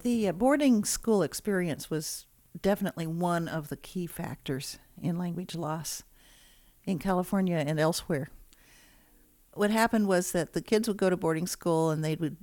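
A woman's voice: fairly low pitch, normal speed, darkish tone, no echo. A woman linguistics professor explaining things in an articulate, matter-of-fact interview.

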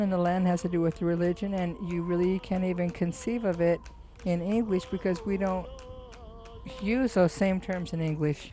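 A woman from California speaking in a thoughtful, even interview. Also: on the low side. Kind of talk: reflective interview.

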